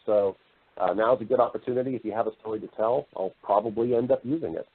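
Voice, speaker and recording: A man's voice: fairly low pitch, quick pace, breathy edge. A man speaking casually and affably as an interview guest, explaining things in a conversational way. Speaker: podcast guest, voice-over artist and narrator. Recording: muffled band-limited internet radio call.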